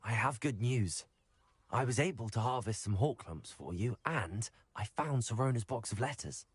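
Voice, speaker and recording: A man's voice: mid-range voice, animated, moderate pace, husky, no room sound. An actor playing an earnest, polite young male wizard student in a video game. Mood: earnest, thoughtful. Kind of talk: scripted video game acting.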